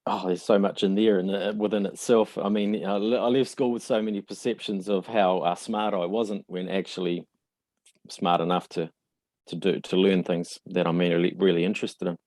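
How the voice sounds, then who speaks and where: A man in his forties with a New Zealand accent talks as a podcast guest, his voice low and mellow. He speaks in a reflective, halting way, often pausing on fillers. The sound is dry and close. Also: fairly even intonation, dry recording. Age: 40s. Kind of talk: casual interview conversation.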